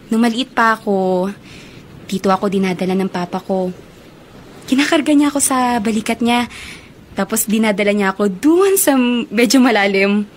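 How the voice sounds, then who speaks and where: An actress playing a sweet, friendly young Filipino student in a radio drama, speaking in an open manner. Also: female voice, medium pitch, a little hiss or hum, natural voice. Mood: open, gentle. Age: young adult. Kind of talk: Tagalog radio drama acting.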